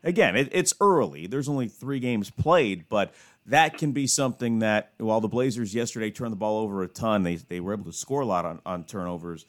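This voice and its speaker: A man with a slightly low voice chats about sports as a studio host. His delivery is conversational and casual, laying out analysis point by point. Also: a little fast.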